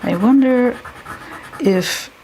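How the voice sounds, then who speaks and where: A slow-speaking woman with a Dutch accent who thinks aloud while she draws. Her voice sits a little low and carries a gravelly fry. She talks haltingly, mutters to herself and stops to hunt for words, over a faint hiss of room noise. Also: mellow, low-quality recording. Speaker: artist live-streaming while drawing.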